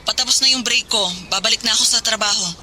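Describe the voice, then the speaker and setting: A woman's voice: on the high side, fast talker, heavy background noise, lightly acted. A woman acting a worried working wife who anxiously scolds her husband over a phone call in a radio drama. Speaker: wife calling from work.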